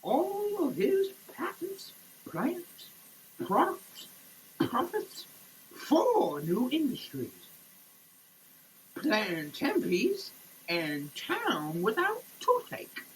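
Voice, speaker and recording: A woman giving a dramatic reading in a theatrical, halting, declamatory manner. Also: high voice, huge pitch swings, a little hiss or hum, rough recording.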